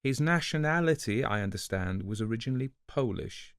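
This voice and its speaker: A man giving a scripted literary narration in a measured, conversational storytelling manner, as if reading a letter aloud. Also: medium pitch, animated, no echo, clean studio sound. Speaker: audiobook narrator.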